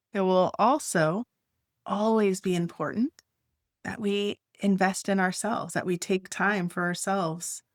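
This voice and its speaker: A woman leading a guided meditation, speaking gently in short, unhurried phrases with long pauses. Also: fairly low pitch, no room sound, clean studio sound.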